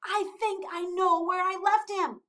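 A cheeky little boy penguin from a picture book, acted out by a woman. The voice is very high and swoops wildly, stretching out its exclamations in a giggly, whiny, childish way. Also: very animated, takes it slowly, a bit bright, heavily acted. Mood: excited.

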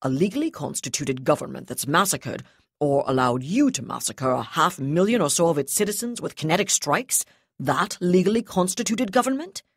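A woman voicing a cold, contemptuous male navy commodore who speaks with calm, clipped precision. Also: low voice, normal speed, slightly rough, no echo, studio quality, full character voice. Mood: icy.

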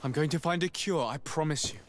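A man's voice: a little high, brisk, a little hiss or hum, natural voice. A young man playing an earnest, loyal noble envoy in a video game, speaking formally. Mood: reassuring. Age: young adult. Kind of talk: scripted video game acting.

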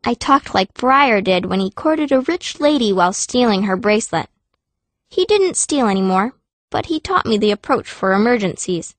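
A woman narrates a first-person fantasy audiobook as its young heroine, with expressive, dramatic storytelling. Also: mid-range voice, moderate pace, dry recording.

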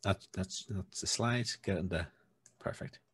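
A man in his fifties speaking informally and a little haltingly as chair of an online meeting. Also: low-pitched, a bit bright, husky. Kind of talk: informal meeting hosting and self-introduction. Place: indoors on video call. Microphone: computer mic on video call.

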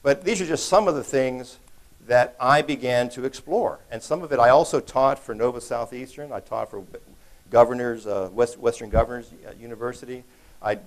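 Chatty man giving a keynote in a hall. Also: medium pitch. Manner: conversational, joking, many restarts and fillers. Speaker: keynote speaker. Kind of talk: conference keynote talk. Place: large conference hall.

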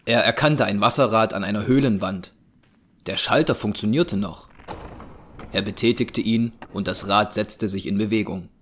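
A man narrating a scripted audio drama as a measured storyteller. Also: lowish voice, fairly bright tone, slight background noise. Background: music.

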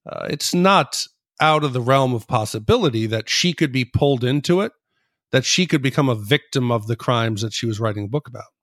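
A man's voice: medium pitch, lively intonation, brisk, fairly bright tone, dry recording, clean studio sound. A man hosting a TV recap podcast, talking in an explanatory way as he discusses a show. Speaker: podcast host.

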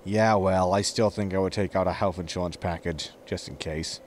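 A man's voice: low-pitched, steady tone, normal speed, a little hiss or hum, close to an everyday voice. A man playing a sarcastic, casual, grumbling spaceship captain in a sci-fi comedy audio drama. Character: flippant, grumbling male starship captain.

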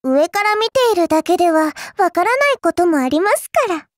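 A tiny fairy girl with an extremely high voice, recorded close and dry. She speaks with courteous, cutesy sweetness and a playfully superior, mischievous streak, in the polished style of an anime mobile game. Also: animated, a little fast, dry recording. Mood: smugly kind. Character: petite polite fairy-like alter ego girl, sweetly mischievous shopkeeper. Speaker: game character voice actor. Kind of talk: Japanese mobile game voice lines, anime acting.

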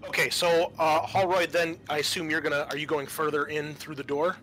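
Man, game master, bright voice, halting improvised narration, light background music. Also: a little high, little pitch movement. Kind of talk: running a tabletop roleplaying game, improvised narration.

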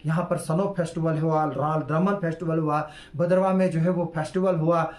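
A man's voice: a little high, fairly even intonation, normal speed, a little mellow. A man, giving solo news commentary to camera with many hesitant fillers. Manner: hesitant, heavy fillers, earnest. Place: small indoor room with curtain backdrop. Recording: echoey room.

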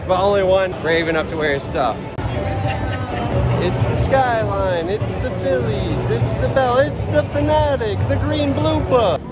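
A young man talks into a handheld camera on a baseball trip, teasing and cracking jokes. His voice sits high and swings widely in pitch. A loud crowd and street noise fill the background. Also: expressive, unhurried, over loud music. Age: young adult. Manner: wisecracking, teasing, laughs often. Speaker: vlogger on a baseball road trip. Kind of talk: casual joking vlog chat. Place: outdoors and in a crowded ballpark.

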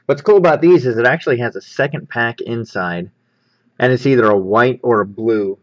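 Male, mid-pitched, upbeat easygoing hobby-show host, casual commentary, slightly lo-fi mic. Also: moderate pace. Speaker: host of a sports card pack-opening show. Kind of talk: casual hands-on unboxing commentary. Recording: slightly dull, lo-fi microphone.

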